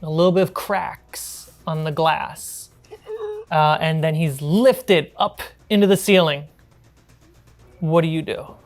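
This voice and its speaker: A woman's voice: sits low, slow, faint music behind. A woman narrating a tabletop horror role-playing game as the game master.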